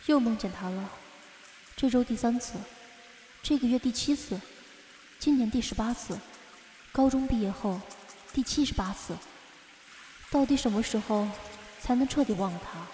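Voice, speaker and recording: A woman's voice: a little high, normal speed, pauses often, warm tone, slight background noise, natural voice. An actress playing a lovesick young woman in an audio drama, wistful and restrained. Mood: wistful, resigned. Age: young adult. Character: lovesick young woman, quietly pining for her friend. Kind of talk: modern Chinese audio drama acting. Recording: music behind the voice.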